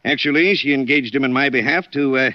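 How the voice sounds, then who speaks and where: An older actor playing a crafty, excitable wealthy diamond seller in 1940s radio drama style, with muttered asides. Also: male voice, mid-range voice, fast, close to an everyday voice. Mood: sly, guarded. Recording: dull lo-fi 1940s radio recording.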